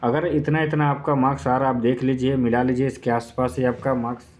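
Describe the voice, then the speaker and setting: A man's voice: medium pitch, flat delivery, quick pace, a little mellow. A man gives an informal exam-prep explainer, going step by step with repeated points and filler phrases. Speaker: exam-prep YouTube presenter.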